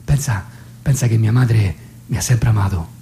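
A man's voice: on the low side, normal speed, warm tone, lightly acted. An eager, clumsy young dad in a radio play, acted by a man. He speaks as if on a phone call, hesitantly repeating himself and anxious to please. A faint hum sits behind the voice. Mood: earnest. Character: eager, awkward, inexperienced new father on the phone. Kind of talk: theatrical radio monologue, staged phone dialogue. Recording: faint hum and background noise.

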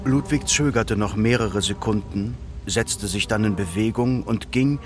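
A man with a fairly low voice narrates a literary radio play. He is solemn, precise and measured in his phrasing. Soft music plays faintly behind him.

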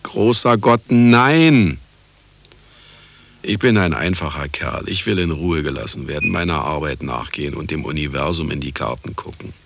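An actor playing a cynical, self-justifying scientist and husband in a radio play, sardonic and condescending, with a gravelly voice. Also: male voice, very deep voice, lively intonation, moderate pace, fairly bright tone, slight background noise, full character voice. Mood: sardonic, agitated. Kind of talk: radio play acting, monologue and dialogue.

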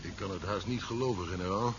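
An actor playing an eager, blustering small-town sheriff in a 1970s radio play, deferential to rank. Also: male voice, low-pitched, fast talker, faint music behind, close to an everyday voice. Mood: subdued, cooperative. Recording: muffled, faintly noisy 1970s radio recording.

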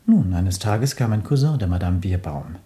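A smug, worldly dandy in a radio drama. The voice is lowish, husky and dry-recorded, with a suave, self-assured, insinuating delivery. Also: male voice, lively intonation, moderate pace, mellow, no room sound. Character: well-off male dandy cousin, worldly, pushy, smug. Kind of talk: radio play dialogue.

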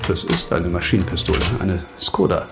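An actor playing a wry, cynical doctor turned investigator in a radio crime drama, speaking with dry sarcasm. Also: male voice, deep voice, little pitch movement, brisk, over music, natural voice. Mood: tense, pondering. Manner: dry, sardonic.

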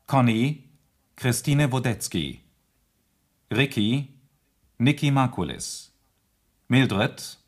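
A man reading scripted radio play closing credits in a formal, measured announcer's manner. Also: medium pitch.

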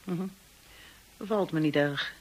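A frank upper-class sister-in-law in a radio play. Her voice is husky and on the low side, and she speaks directly, probing and briskly saying what she thinks. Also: exaggerated voice, rough recording. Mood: disapproving. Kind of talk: radio drama acting.

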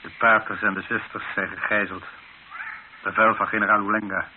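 A man's voice: fairly low pitch, level tone, a little fast, close to an everyday voice. An actor playing a worried, protesting colonial planter and father in an old radio drama, earnest and subdued. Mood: grim, resigned. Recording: hissy, narrow-band old radio drama recording.

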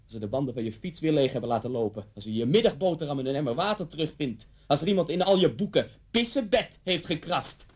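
A man's voice: fairly high pitch, animated, fast, hardly any pauses, husky. An actor playing a vengeful, deranged middle-aged man in a radio play, mocking and theatrical as he threatens someone. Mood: bitter, agitated. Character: vengeful, deranged man taunting his old schoolteacher at gunpoint.

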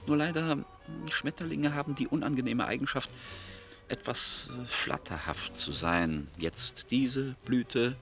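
An actor in a German radio play voicing a suave, menacing American oil boss with an urbane, mock-polite, hesitant way of talking. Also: male voice, medium pitch, a little slow, gravelly, fairly bright tone. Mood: oily, insinuating. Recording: studio radio play with background music.

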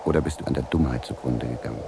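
An actor playing a weary, freezing wanderer who muses theatrically to himself in a radio drama. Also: male voice, extremely low pitch, racing, creaky. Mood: musing, mocking. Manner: theatrical musing, talking to himself. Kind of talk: German radio drama acting, soliloquy. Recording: noisy 1970s radio drama recording.

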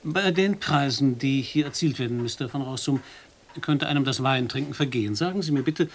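A wry foreign correspondent, voiced by a man in a radio drama, reporting in a dry, measured tone. His pitch sits mid-range. A faint hiss runs underneath. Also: close to an everyday voice. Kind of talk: radio play narration and interview.

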